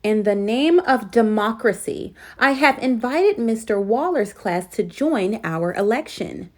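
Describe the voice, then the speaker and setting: Encouraging female primary teacher, played by a woman reader, storybook style, explaining slowly with varied intonation. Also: fairly high pitch, lively intonation, a little mellow. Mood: announcing, explaining. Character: encouraging female schoolteacher addressing her young class.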